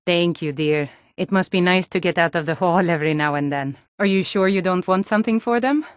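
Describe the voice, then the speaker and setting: A woman's voice: on the low side, fairly quick, no echo, studio quality, close to an everyday voice. A woman voicing a hardy, forthright Nord townswoman and guard in a fantasy video game, speaking in an earnest, firm, plain-spoken way. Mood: gentle, caring.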